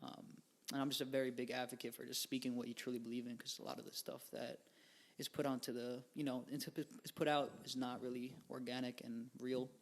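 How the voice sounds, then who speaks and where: A man's voice: mid-range voice, flat delivery, fairly quick, few pauses. A young man in his twenties, a musician guest on a podcast, talking casually in a rambling way with lots of fillers and slang. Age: 20s. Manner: rambling, filler-heavy, laid-back slang.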